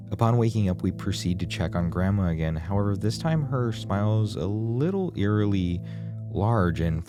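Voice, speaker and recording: A man reading scripted narration of a horror game in a dramatic, ominous storyteller manner. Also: deep voice. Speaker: video essay narrator. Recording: music behind the voice.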